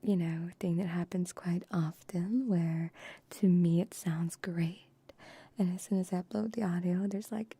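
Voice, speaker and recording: A woman's voice: on the low side, steady tone, breathy edge, dry recording. A young woman whispering close to the mic as an ASMR streamer, chatting with her viewers in an affectionate, teasing way. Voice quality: soft whisper, mostly unvoiced. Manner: affectionate, teasing pet-play talk to viewers; slow, unhurried whispering. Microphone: shotgun microphones close to the mouth.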